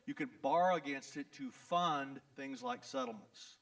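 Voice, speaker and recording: A man's voice: a little high, lively intonation, normal speed, vocal fry. Folksy Texan man, voice from a distance. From Texas. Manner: folksy, blunt, joking, repeats "the bottom line is". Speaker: attorney. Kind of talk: town hall address to residents. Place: event venue in front of an audience.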